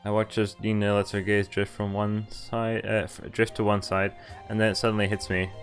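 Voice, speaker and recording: A man's voice: fairly low pitch, monotone, moderate pace. A man streaming a visual novel, reading it aloud casually with asides to chat and often stumbling and correcting himself. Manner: stumbles over read lines and corrects himself. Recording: music playing behind the voice. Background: background music from the game.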